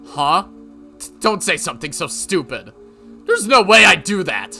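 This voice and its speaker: A man's voice: mid-range voice, sing-song, normal speed, soft background music. A man voicing an unhinged male freelance writer in a visual novel, theatrical and volatile. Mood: frightened, angry. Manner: shouting, wailing, taunting, theatrical. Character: unhinged male freelance writer, shifting from panic to cold menace.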